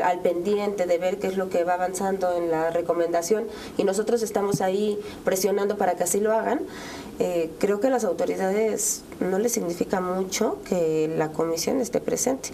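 Mexican woman, thirties, interview guest. Mid-pitched, mellow, explanatory, conversational; slight background noise. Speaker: interview guest, relative of a victim. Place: dark TV studio, round table. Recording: clear background room noise.

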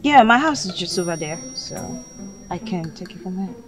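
A woman plays a polite, guarded young woman on a date in a film drama. Her voice sits fairly low and natural, with music clearly playing behind. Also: animated, moderate pace, lightly acted.

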